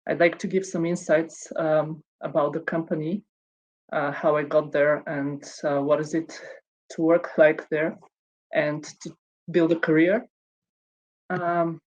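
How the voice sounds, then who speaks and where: A woman gives a conference talk. Her pitch stays flat and level, and she often pauses on "um" and starts sentences over. Her delivery sounds measured and unhurried. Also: rough recording. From Bulgaria. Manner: hesitant, many ums and restarts. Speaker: conference speaker.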